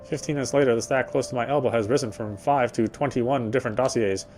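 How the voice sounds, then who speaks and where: A man's voice: mid-range voice, fast, a little mellow, slight background noise, lightly acted. An actor playing a weary male city detective, narrating in the first person with a wry inner voice.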